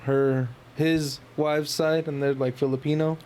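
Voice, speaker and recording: A man's voice: on the high side, level tone, measured pace, darkish tone, a little hiss or hum. A man co-hosting a podcast, speaking in casual, blunt, profane slang. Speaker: podcast co-host. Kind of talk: casual banter.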